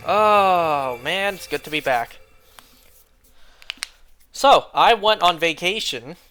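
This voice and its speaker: A young man streaming a game, chatting casually and rambling, clearing his throat often as he is losing his voice. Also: sits high, animated, slow, sharp tone, slight background noise.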